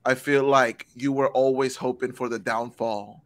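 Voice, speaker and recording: A young man co-hosting a casual podcast over a headset, easygoing and laughing, asking halting questions. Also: mid-range voice, fairly even intonation, noisy background. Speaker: podcast co-host.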